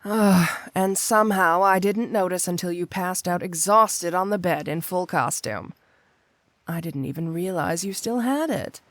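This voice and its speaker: A woman's voice: lowish voice, dry recording, natural voice. A woman playing a flirty, possessive supervillain cat burglar wife in an intimate ASMR roleplay, coyly drawing out her endearments. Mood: exasperated. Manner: coy, drawn-out, purring endearments with pointed hums.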